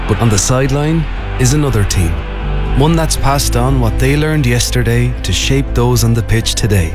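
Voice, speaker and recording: A man narrating a sponsor advert, earnest and measured. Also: medium pitch. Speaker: advert voice-over.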